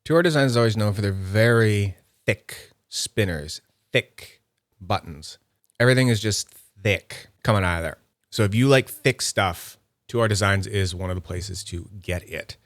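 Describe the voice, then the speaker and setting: Male reviewer, slightly low register, dry close sound. Casual and upbeat, thinks aloud while unboxing. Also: moderate pace, no room sound. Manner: chatty, thinks aloud while handling items; nerdy and upbeat. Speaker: YouTube fidget toy reviewer.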